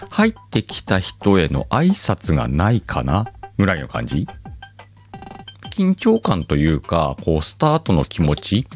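A very deep-voiced man with a lilt hosts his own radio-style show. His pitch swings widely as he muses aloud, teasing himself. Soft music plays faintly behind him. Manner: rambling, self-mocking, chuckles at himself. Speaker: podcast host. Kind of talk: casual solo radio-style talk.

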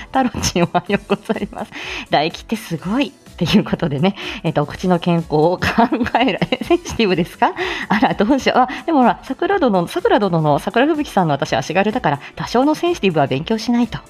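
A woman's voice: mid-range voice, sing-song, fast, a little mellow. A woman hosting a live audio stream with casual announcements in a playful, giggly, polite manner.

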